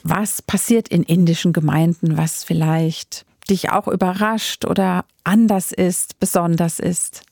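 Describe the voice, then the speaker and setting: A woman who interviews her guest with clear, composed questions. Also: lowish voice, steady tone, a little slow, hardly any pauses, a little mellow, no echo, clean studio sound.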